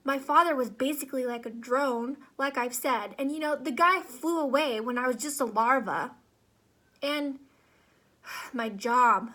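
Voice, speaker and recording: A woman voicing a neurotic, anxious male worker ant in an animated-film monologue, stammering and complaining in exasperation. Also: high voice, full character voice. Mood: aggrieved, exasperated.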